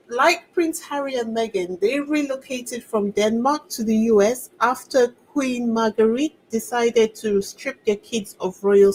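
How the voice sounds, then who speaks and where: A woman's voice: fairly high pitch, a little mellow, low-quality recording. A woman hosting a royal news channel, chatting casually to viewers with sarcastic asides.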